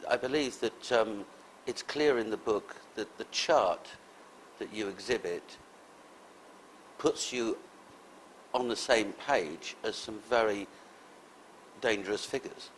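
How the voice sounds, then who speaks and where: Man asking measured interview questions. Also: fairly low pitch, a little hiss or hum, low-quality recording. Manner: brief, measured prompting questions.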